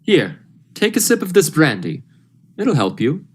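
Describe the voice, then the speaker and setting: Student playing an urgent doctor, wildly swinging pitch. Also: wildly swooping pitch, a little slow, husky, heavily acted. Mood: urgent, persuading. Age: young adult. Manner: melodramatic, emphatic, insistent. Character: Doctor Precinct, urgent, commanding, suspicious male doctor. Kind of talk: 1940s radio thriller acting.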